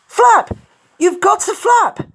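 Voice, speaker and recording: Storybook animal cheerleader, very high and excitable, forever urging friends on. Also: slow pace, vocal fry, heavily acted. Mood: urgent.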